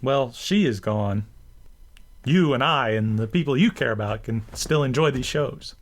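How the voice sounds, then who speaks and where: A man's voice: mid-range voice, expressive, measured pace. A man hosting a show with scripted commentary, speaking in wordy, bookish phrasing. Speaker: host of a rant and commentary show. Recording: music behind the voice. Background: background music.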